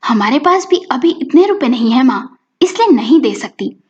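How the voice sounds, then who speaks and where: A woman's voice: sits high, very animated, moderate pace, a little mellow. A woman storyteller voicing an evasive, self-centred married daughter in a family story. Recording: muffled recording with music behind.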